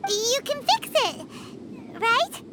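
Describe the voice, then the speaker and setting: Game role: tiny excitable fairy, extremely high squeaky childlike voice, wildly swooping pitch, cheerful and blunt. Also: female voice, slow pace, heavy background noise, exaggerated voice. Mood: encouraging. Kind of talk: cartoonish video game cutscene acting.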